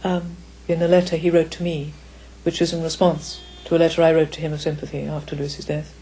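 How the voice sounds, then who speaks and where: A woman's voice: low voice, level tone, brisk, dark tone, a little hiss or hum, poor audio. An older woman reminiscing in an interview, careful, articulate and formal. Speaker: author's daughter, interviewee. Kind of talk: reflective interview.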